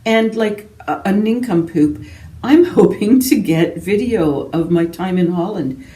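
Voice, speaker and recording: A woman's voice: mid-range voice, animated, a little slow, warm tone. A woman from Canada chatting casually and ramblingly to her viewers as a book vlogger, in a self-deprecating way. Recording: roomy echo, distant microphone.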